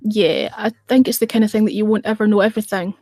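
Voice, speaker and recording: A woman's voice: medium pitch, steady tone, fast, dark tone, dry recording, clean studio sound. A middle-aged woman hosting a casual livestream, rambling and chatting in reply to viewer comments. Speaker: radio show host on a livestream.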